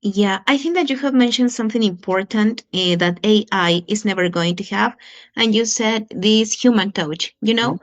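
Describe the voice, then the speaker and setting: A woman's voice: medium pitch, normal speed. A woman hosting an interview, agreeing with "yeah" and asking short questions. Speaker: interviewer, host. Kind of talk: casual interview talk.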